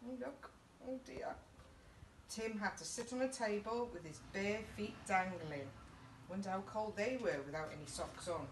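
A woman's voice: mid-range voice, moderate pace. Middle-aged British female storyteller for small children, child-directed questions, roomy distant sound with faint noise. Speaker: story time host reading to children. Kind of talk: children's picture book read-aloud. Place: small indoor youth group playroom. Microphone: camera a couple of metres away. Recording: echoey room, distant mic, faint background noise.

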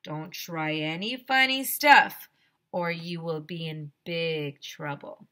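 A woman's voice: low-pitched, slow, bright, forceful tone, exaggerated voice. A woman voicing a stern, bullying male doctor in a storybook, barking scornful commands like a drill sergeant. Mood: menacing.

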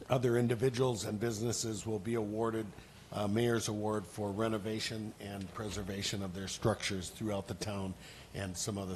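Older male councilman, loose rambling delivery with fillers, desk mic in a council chamber, faint hum. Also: on the low side. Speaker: city council member. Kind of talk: meeting announcement. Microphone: desk gooseneck mic.

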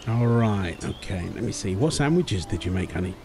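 A man's voice: fairly low pitch, expressive, moderate pace, creaky, noisy background. A man playing a doting young husband and new father, tender and playful, in an ASMR boyfriend roleplay. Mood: easygoing, caring.

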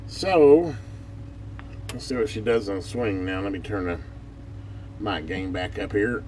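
A man's voice: on the low side, unhurried. A man giving a casual, folksy hands-on bench demonstration as host of an electronics repair channel. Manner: folksy, informal talk. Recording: distant mic, noisy with loud hum.

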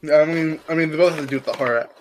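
A man's voice: a little high, fast. A man in casual banter as co-commentator on a game stream, blunt and teasing.

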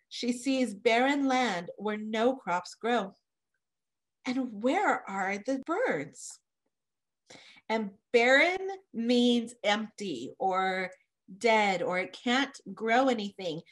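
A woman with a fairly high voice reads a picture book aloud for children. She takes it very slowly, with careful phrasing that young listeners can follow. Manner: careful phrasing, pausing to explain words simply. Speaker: read-aloud presenter for children. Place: small indoor room, home office.

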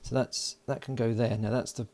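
A man giving casual hands-on commentary as an electronics hobbyist, informal and halting as he thinks aloud while working. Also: medium pitch, level tone, mellow. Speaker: electronics hobbyist presenting a build. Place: indoors at a workbench.

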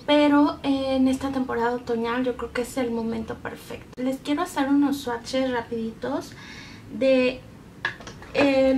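A woman talks through a makeup tutorial. She is chatty and instructive in a casual vlog style. She was recorded at home in a bedroom, with clear background noise. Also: sits high, darkish tone. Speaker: beauty vlogger.

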